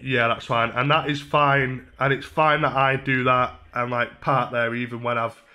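Deadpan young Northern English man, bright voice. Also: mid-range voice, normal speed. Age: 20s. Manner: mock-earnest, deadpan, hesitant. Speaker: prank caller, livestreamer. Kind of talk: casual prank phone call. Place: small room at home. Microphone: desk microphone on a stand.

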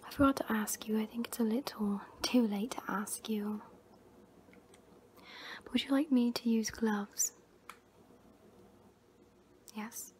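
A woman in her twenties playing a gentle young scavenger turned Jedi in a whispered ASMR roleplay, soft, breathy and soothing, close to the mic. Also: fairly high pitch, fairly even intonation. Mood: soothing, caring. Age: 20s. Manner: slow, hushed, soothing, with long pauses. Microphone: close to the mouth. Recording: noisy close-up homemade recording.

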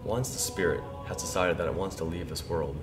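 A young man playing a solemn exorcist priest who gives deliberate instructions. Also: deep voice, distant mic, over music, close to an everyday voice. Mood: solemn, instructive. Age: young adult. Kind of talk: mock instructional narration.

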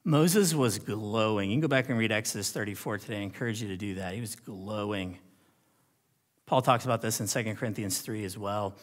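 A man delivering a sermon as a pastor, with a dramatic delivery that moves between hushed and emphatic. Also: lowish voice. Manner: dramatic, swinging from hushed near-whispers to loud emphatic declarations; rhetorical questions and check-ins with listeners. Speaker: pastor preaching. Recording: long hall reverb.

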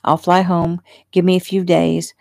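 A woman narrator voicing a weary, earnest young man in an audiobook, halting and placating in manner. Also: lowish voice, flat delivery, gravelly, darkish tone, no room sound.

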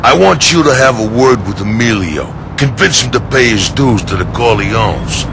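An actor playing a gruff, menacing middle-aged mafia enforcer in a video game. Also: male voice, medium pitch, lively intonation, moderate pace. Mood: instructive. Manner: slangy tough-guy mobster talk. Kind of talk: video game cutscene acting. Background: music, car sounds.

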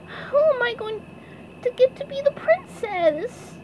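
A woman voicing an eager, naive young male cartoon sponge for a storybook, squeaky and excitable. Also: a little slow, a little hiss or hum, rough recording. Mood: pleading. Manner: excitable, pleading, sputtering when flustered.

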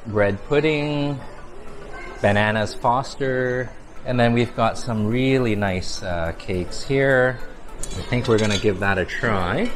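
A man's voice: medium pitch, slow pace. A middle-aged man giving a casual, appreciative food review to camera as a vlog host. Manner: appreciative, exclaiming over food. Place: large busy buffet restaurant, indoors. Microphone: clip-on lapel mic. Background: background music.

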